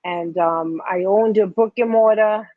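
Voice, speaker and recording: Middle-aged New York woman, interview guest on a video call, very slow and unhurried; dry, dull lo-fi sound. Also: medium pitch, dry recording. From Staten Island, New York. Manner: unhurried, trails into fillers and restarts. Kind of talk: casual interview answers.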